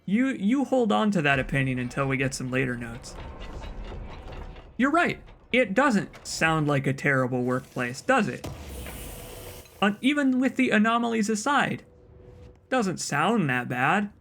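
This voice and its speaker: A man's voice: sits high, sing-song, unhurried, pauses often, a bit bright, soft background music. A man with a soothing voice giving casual, rambling commentary as he plays a game, often restarting his sentences. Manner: rambling, chatty, frequent restarts and asides. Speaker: let's play streamer.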